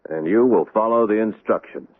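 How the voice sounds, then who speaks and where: Male actor as a cynical, low-voiced cop, dry and firm, on tinny old radio. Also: brisk, over music, close to an everyday voice. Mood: probing. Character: hard-nosed male police lieutenant, blunt. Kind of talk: 1970s radio drama acting. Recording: tinny lo-fi vintage radio recording.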